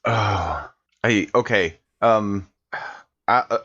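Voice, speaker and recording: A man's voice: on the low side, very slow, sharp tone, husky. A man playing a tabletop role-play game, asking questions hesitantly. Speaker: player. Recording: dull lo-fi mic.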